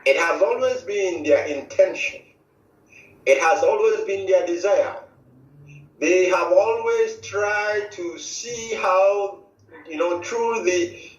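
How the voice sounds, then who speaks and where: Slow, emphatic Nigerian man on echoey line. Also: fairly high pitch. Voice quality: halting, many restarts and fillers. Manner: emphatic, repetitive declarations. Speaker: movement spokesman interviewed on radio. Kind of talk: formal radio statement. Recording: echoey, distant, dull lo-fi audio.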